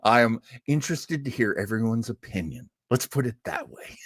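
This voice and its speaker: Chatty guy hosting a livestream, pitch jumps around a lot, dry close sound, jokey and into it. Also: expressive, moderate pace, no room sound. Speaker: host of a live listening party. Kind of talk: casual live-stream presenting.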